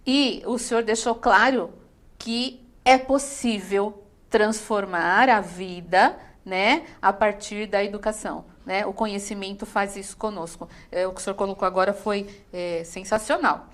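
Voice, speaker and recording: A woman's voice: medium pitch, a little slow. A woman teacher hosting an educational interview, asking questions politely and formally with many hesitant fillers.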